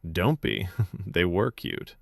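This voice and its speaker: A man voicing a warm, protective young male drama student in casual, low-key audiobook dialogue. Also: expressive, takes it slowly, gravelly, dry recording, studio quality, exaggerated voice. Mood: subdued.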